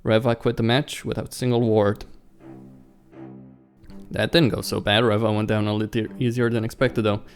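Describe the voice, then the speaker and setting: A man's voice: on the low side, flat delivery, normal speed, pauses often. A man hosting a let's play, reading a visual novel script aloud and stumbling over some words. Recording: music behind the voice.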